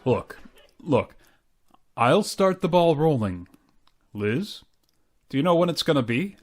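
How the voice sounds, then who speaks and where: A woman's voice: low-pitched, big pitch swings, slow, a little mellow, full character voice. A woman playing a hearty, meddling male family friend who blusters and stammers in an old-time radio comedy. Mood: coaxing.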